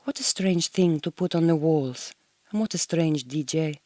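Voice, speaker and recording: A woman's voice: sits low, normal speed, dark tone, no echo, clean studio sound, lightly acted. An actress playing a proud, homesick Hungarian migrant woman in a radio drama, speaking a diary in slightly stilted, formal English with a Hungarian accent. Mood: offended, disdainful. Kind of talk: recorded audio diary monologue in a radio drama.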